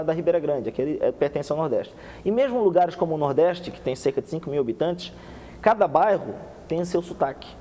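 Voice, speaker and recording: Fast-talking young man in a TV studio. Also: fairly high pitch, long reverb tail, slight background noise. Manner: fast, animated explaining with many restarts and hand gestures. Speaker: guest researcher. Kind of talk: TV studio interview. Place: TV studio set. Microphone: lapel.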